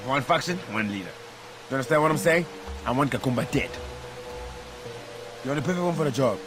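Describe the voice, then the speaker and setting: A man's voice: mid-range voice, lively intonation, a little fast, over music, lightly acted. A man playing an ambitious militia officer in a video game, chummy and boastful as he persuades. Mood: scheming, chummy. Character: ambitious, scheming militia officer hungry for power. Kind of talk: video game cutscene acting.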